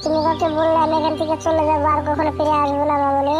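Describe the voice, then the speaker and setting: Woman as a high-voiced, caring cartoon mother bird. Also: little pitch movement, fast, music in the background, lightly acted. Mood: tender, reassuring. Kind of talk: children's cartoon story dialogue.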